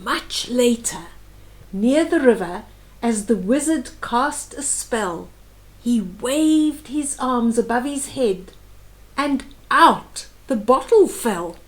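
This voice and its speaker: A woman reading a children's story aloud with animated, dramatic emphasis and explanatory asides. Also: a little high, takes it slowly, low-quality recording.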